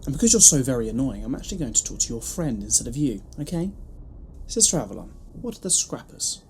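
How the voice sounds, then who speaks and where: A man's voice: mid-range voice, sing-song, quick pace, a little mellow, slight background noise. A man playing a wry, weary wandering time traveller in an audio drama, flippant and dryly humorous. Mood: irritated, mocking. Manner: flippant, dry humour.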